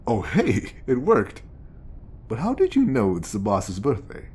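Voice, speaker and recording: A man's voice: wildly swooping pitch, measured pace, a little mellow, full character voice. A man playing an obsessive, lovestruck bodyguard in an audio roleplay, coaxing and intimate, passing devotion off as professionalism. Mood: sly, teasing. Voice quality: low, creaky. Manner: coaxing, intimate, slipping into whispers. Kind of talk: audio roleplay acting addressed to the listener.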